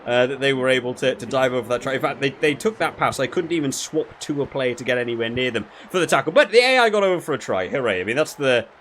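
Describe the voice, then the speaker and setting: A man's voice: mid-range voice, animated, quick pace, hardly any pauses, fairly bright tone. Man doing casual game commentary over background noise. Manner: rambling, thinking aloud with frequent hedging. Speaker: gaming video creator reviewing a rugby game.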